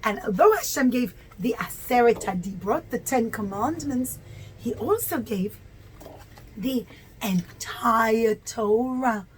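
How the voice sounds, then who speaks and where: A woman telling a religious lesson to young children as a storyteller, in simple, expressive language with stretched-out key words. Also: fairly high pitch, takes it slowly, a little hiss or hum, low-quality recording.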